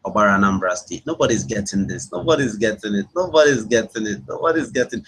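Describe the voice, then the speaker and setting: Man, Nigerian accent, fairly deep pitch, rough husky tone, informal webinar host, repetitive phrasing. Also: animated, fast, fairly bright tone, low-quality recording. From Imo State, Nigeria. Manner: repeats phrases over and over. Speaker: webinar host, internet marketing coach. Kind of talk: casual live chat with online audience, reading out answers.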